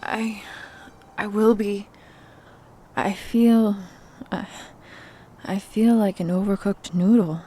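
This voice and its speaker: A woman playing a soft, affectionate young heroine in peril in a retro audio thriller, delicate and halting. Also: medium pitch, steady tone, slightly rough. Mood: faint, shaken.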